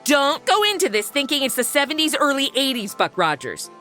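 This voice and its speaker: A woman's voice: sits high, big pitch swings, a little fast, sharp tone, faint music behind, heavily acted. A woman playing Madison, a sarcastic modern young woman who makes wisecracking asides in a comedy audio drama. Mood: snarky.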